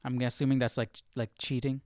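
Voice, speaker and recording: A man's voice: mid-range voice, level tone, fairly quick, darkish tone, dry recording, clean studio sound, faint music behind. A man hosting a live study session, explaining passages casually and often checking for agreement with short tag questions. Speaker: Bible study livestream host. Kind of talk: casual live commentary on verses.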